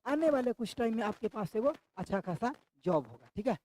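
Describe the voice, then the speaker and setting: A man's voice: sits high, sing-song, a little fast, a little mellow, no echo. A man with a sore throat teaches an online exam-prep class, repeating each question back to his students.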